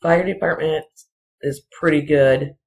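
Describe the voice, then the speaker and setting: Casual solo commentator, medium pitch, unhurried and halting, insistent repetition, rambling delivery. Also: fairly even intonation, darkish tone. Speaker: video commentator.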